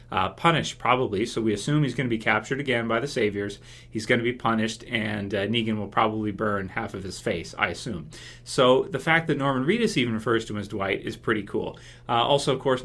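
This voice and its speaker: A man's voice: medium pitch, fairly bright tone. A man hosting a casual fan commentary video, speculating in a hesitant, think-aloud way. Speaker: YouTube video host.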